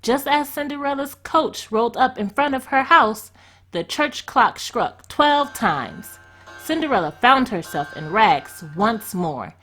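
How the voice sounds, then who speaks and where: A woman storyteller narrating a children's storybook in an expressive read-aloud style. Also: fairly high pitch.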